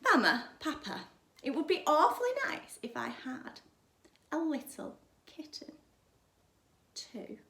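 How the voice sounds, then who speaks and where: A woman's voice: sits high, very animated, slow pace, long pauses, sharp tone, voice pushed up, full character voice. A woman voicing a falsely sweet, spoilt little girl from a storybook, in a wheedling manner. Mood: sweetly wheedling. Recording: roomy, echoing sound.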